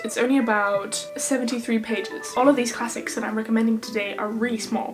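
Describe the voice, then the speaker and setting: A chatty young Irish woman talks through book recommendations in a friendly vlog, with an accent that leans toward English. Her voice sits a little high for a woman. Clear background music plays beneath her throughout. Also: rough recording. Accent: Irish, drifting toward English. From Ireland. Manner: chatty, bubbly, quick asides and self-deprecating jokes. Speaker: book vlogger. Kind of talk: casual book recommendations to camera. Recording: music playing underneath.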